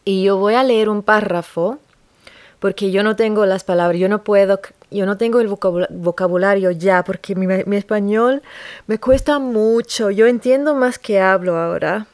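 A woman talking on her podcast in an unscripted monologue, halting, with frequent restarts and pauses. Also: medium pitch, a little mellow, no room sound.

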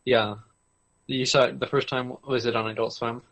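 A man's voice: mid-range voice, little pitch movement, normal speed, fairly bright tone. A man co-hosting a podcast in casual chat about anime, laid-back and a little hesitant as he asks and answers. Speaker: podcast co-host.

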